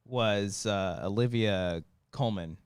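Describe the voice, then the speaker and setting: A man's voice: fairly low pitch, takes it slowly, clear, resonant tone, no echo. A man co-hosting a podcast, chatting casually about TV shows. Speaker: podcast co-host.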